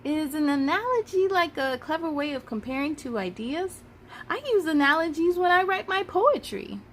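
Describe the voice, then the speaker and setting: Lady doing a curious storybook kid, high voice, bouncy pitch, eager and outspoken in class. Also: expressive, normal speed, a little hiss or hum. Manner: eager, questioning, exclaiming. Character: curious, outspoken young schoolchildren answering in class. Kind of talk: children's storybook read-aloud.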